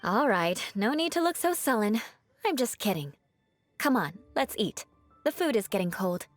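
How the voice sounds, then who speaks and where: An aloof highborn lady knight trading teasing barbs in a fantasy game, voice swooping, faint music behind her. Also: very animated, moderate pace. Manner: haughty, formal, teasing. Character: proud young noblewoman knight, aloof, teasing, mock-vengeful. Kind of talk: video game voice acting.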